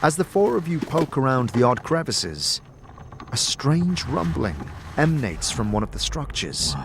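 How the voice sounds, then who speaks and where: A man's voice: mid-range voice, big pitch swings, a little slow, creaky, slight background noise. A man reading dramatic, scripted second-person narration of a fantasy story. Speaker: narrator and game master. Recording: music under the voice. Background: background music.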